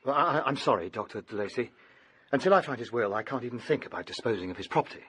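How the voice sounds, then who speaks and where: An actor playing an anxious, impatient young heir in a radio drama, with a British accent and a brusque manner that turns hesitant. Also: male voice, mid-range voice, expressive, fast, fairly bright tone, husky. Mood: nervous, hesitant. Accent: British English.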